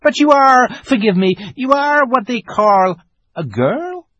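A man's voice: very high voice, animated, unhurried, a bit bright, no room sound, lo-fi sound, voice pushed up, exaggerated voice. A man voicing a polite, fussy, eager fawn creature in a storybook, with formal, flustered courtesy.

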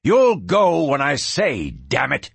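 A man's voice: mid-range voice, big pitch swings, normal speed, fairly bright tone, slightly rough, no room sound, heavily acted. Man acting out a sneering, hot-tempered Western cattle baron. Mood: furious. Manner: sneering, domineering, explosive. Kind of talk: dramatised audiobook reading.

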